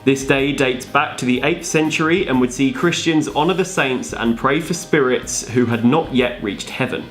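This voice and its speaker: A man's voice: mid-range voice, moderate pace, a bit bright, faint music behind. A man co-presenting a scripted explainer with banter, playing it dry as the straight man. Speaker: video co-presenter.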